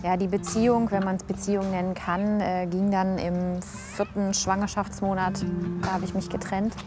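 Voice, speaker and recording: A woman tells her story frankly and reflectively, at a mid-range pitch, pausing with small fillers. Music plays clearly underneath. It sounds like a sit-down interview. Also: level tone. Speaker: reality documentary participant. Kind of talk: personal interview narration. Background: background music.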